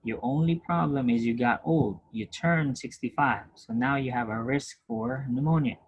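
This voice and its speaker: A man teaching a nursing class, explaining step by step, often checking that students follow and clearing his throat. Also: mid-range voice, normal speed, dull audio. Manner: patient, repetitive, checks understanding with questions; frequent throat clearing and restarts. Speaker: nursing instructor. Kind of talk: recorded lecture over screen share.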